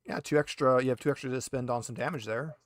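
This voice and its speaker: A man's voice: mid-range voice, fairly even intonation, fast talker, crowd noise. A man talking casually as game master, explaining rules and narrating a tabletop game in an informal, slightly hesitant, patient way.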